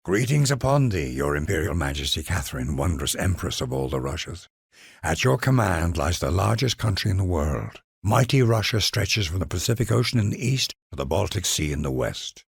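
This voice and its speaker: A man's voice: low voice, very animated, moderate pace, vocal fry, husky, no echo, studio quality. A man delivering grand scripted narration for a strategy game in a formal, reverent, theatrical manner.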